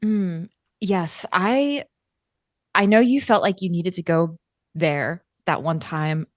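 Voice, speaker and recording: Woman playing a slow, careful, protective friend. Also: on the low side, dry recording, studio quality. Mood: coaxing, protective. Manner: gentle, halting, careful wording. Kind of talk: in-character tabletop roleplay.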